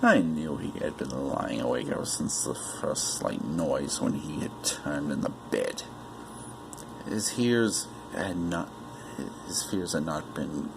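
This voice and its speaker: Man acting a creaky, unhinged gothic murderer. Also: heavily acted. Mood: sinister, hushed. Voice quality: low, creaky. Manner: theatrical, breathy whispers swelling into agitated outbursts. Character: unhinged obsessive murderer confessing, nervous, furious, gloating. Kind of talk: dramatic reading of gothic horror story. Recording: noisy amateur home recording.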